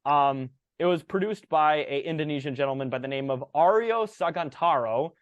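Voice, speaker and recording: A man in his thirties co-hosting a casual movie review podcast, relaxed and full of fillers as he reads out film facts. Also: on the high side, normal speed, dry recording, highs cut off. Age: 30s. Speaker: podcast co-host.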